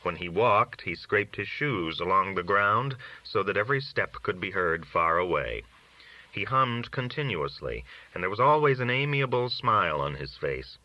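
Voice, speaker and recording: A man's voice: sits low, animated, normal speed. A man reading literary short stories aloud as scripted narration. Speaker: audiobook-style reader of short stories. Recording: dull, lo-fi recording.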